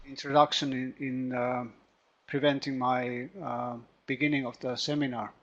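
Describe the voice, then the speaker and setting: A middle-aged man with a Finnish accent gives an online lecture as a teacher trainer, speaking haltingly and often repeating words. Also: mid-range voice, flat delivery, a bit bright. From Finland. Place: home study room. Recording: muffled lo-fi online call audio.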